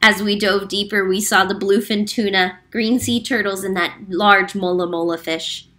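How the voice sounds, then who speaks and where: Woman, classroom read-aloud narrator, bright and forward voice, patient explanatory delivery, close mic. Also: mid-range voice, steady tone. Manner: clear, explanatory, slows down on key facts. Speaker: teacher reading a picture book aloud.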